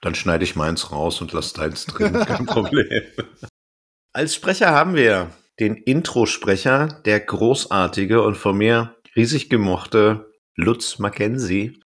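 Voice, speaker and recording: A man with a fairly low voice co-hosts a podcast. He presents his material in a casual, conversational way, like informal talk with a fellow fan. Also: very animated, a little slow, hardly any pauses, fairly bright tone, slightly rough. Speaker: podcast co-host.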